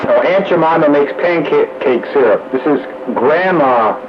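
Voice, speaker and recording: A man's voice: fairly high pitch, expressive, a little slow. A man bantering casually as an amateur operator over a two-way radio. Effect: two-way radio transmission. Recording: muffled, noisy two-way radio audio.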